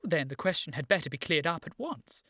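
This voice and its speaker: Earnest, low-voiced young gentleman. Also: expressive, a little fast, no room sound, clean studio sound. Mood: anxious, resolute. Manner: formal, urgent, stammering when agitated. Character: earnest, excitable young gentleman seeking his origins. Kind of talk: radio play acting, period comedy.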